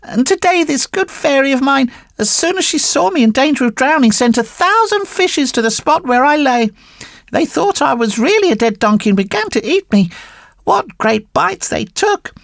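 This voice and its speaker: A man's voice: expressive, moderate pace, a bit bright, no room sound, clean studio sound, heavily acted. A man voicing a cheeky, sly wooden marionette boy in an audiobook, teasing and boastful. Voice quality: high, gravelly and mocking. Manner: playful, teasing storytelling. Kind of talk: audiobook reading.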